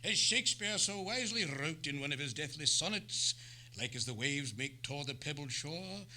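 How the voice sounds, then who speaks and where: An actor playing a pompous, grandiloquent down-at-heel Shakespearean thespian, declaiming theatrically in an old-time radio play. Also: male voice, on the high side, expressive, moderate pace, pushed and bright. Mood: lofty. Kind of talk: old-time radio Western comedy acting.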